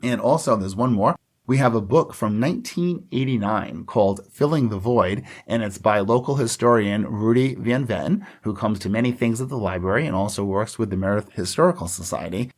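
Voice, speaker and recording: A man's voice: lowish voice, fairly quick. A man co-hosting a library podcast in casual, joking chat. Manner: chatty, joking, with laughs and restarts. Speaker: podcast co-host.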